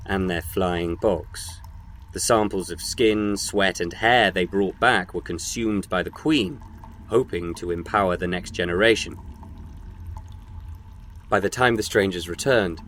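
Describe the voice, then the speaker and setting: A man narrating a scripted story as a solemn, measured storyteller. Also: sits low, a bit bright, a little hiss or hum.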